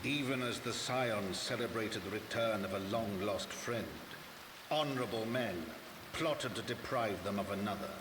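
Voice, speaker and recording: Male actor low grave game narrator, ominous n theatrical, far off w/ lots of echo, rainy noise. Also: close to an everyday voice. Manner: solemn, measured, theatrical. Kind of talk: scripted cutscene narration. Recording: echoey, distant game audio with rain noise.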